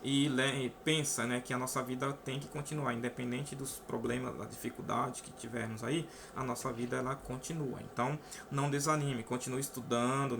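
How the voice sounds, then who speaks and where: A man's voice: mid-range voice, vocal fry, noisy background. A Brazilian man teaching a math lesson to students, walking through each step and asking "né?" often. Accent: Brazilian Portuguese. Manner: explains step by step, often adds "né?". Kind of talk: casual online math lesson.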